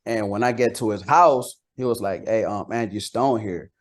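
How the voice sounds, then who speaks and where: A man's voice: fairly low pitch, moderate pace. A man from Costa Rica, a native Spanish speaker, chatting casually as an interviewed rapper, slangy and repeating words for emphasis.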